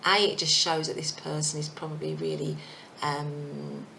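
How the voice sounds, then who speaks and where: A woman giving a casual tarot reading, thinking aloud with many fillers, her voice croaky and husky. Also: sits low, little pitch movement, moderate pace, sharp tone, slight background noise, low-quality recording. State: croaky voice, clearing throat. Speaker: tarot reader.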